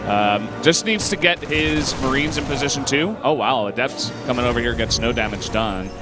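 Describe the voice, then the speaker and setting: Easygoing male esports caster, mid pitch. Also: normal speed, loud music behind the voice. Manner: analytical play-by-play, easygoing. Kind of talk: live game commentary.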